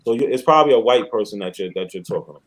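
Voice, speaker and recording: A man's voice: fairly low pitch, expressive, rapid-fire, slight background noise, rough recording. A man from Long Island steering a heated group debate, cutting in with "hold on" and pressing questions.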